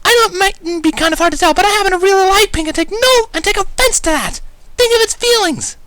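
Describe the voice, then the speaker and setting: Guy doing a super high squeaky girl pony, loud, excitable, indignant, cartoony. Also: quick pace. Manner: shrill, exaggerated indignation.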